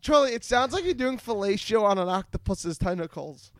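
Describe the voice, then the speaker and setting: Very high-voiced man hosting a comedy podcast. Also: animated, brisk, a bit bright, dry recording. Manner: playful, jokey. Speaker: podcast host.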